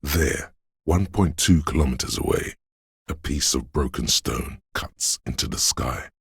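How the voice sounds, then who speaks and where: Cavernous, gravelly brooding city spirit. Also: male voice, animated, studio quality, heavily acted. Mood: wistful, brooding. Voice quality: very deep gravelly, husky voice. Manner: slow, hushed, poetic, ominous. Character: brooding omniscient spirit of a city, an inner sense. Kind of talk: literary game narration in second person.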